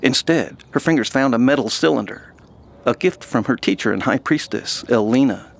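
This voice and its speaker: Creaky-voiced man narrating fantasy. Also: mid-range voice, animated, moderate pace. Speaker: host and narrator. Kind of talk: scripted fantasy story narration.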